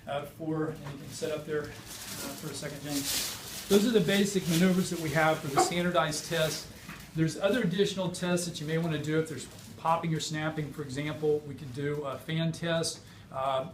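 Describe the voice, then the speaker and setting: A man giving a clinical teaching demonstration as a physician, explaining technically in a halting way. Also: a little high. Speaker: physician demonstrating hip exam. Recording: roomy, distant mic, noisy background.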